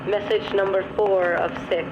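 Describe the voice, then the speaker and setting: An automated playback machine voice in a video game, announcing numbered messages in a flat, formulaic way. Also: medium pitch, moderate pace, very noisy, lightly acted. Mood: impersonal. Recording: muffled, radio-like, under loud music.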